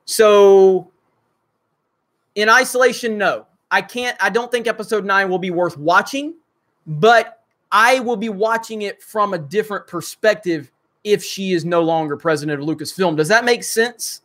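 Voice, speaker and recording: Guy with a high voice doing a livestream, lots of ums, reading out chat comments. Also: moderate pace, fairly bright tone. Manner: rambling, many fillers, reads viewer comments aloud. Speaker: livestream host. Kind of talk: casual livestream chat reading super chats.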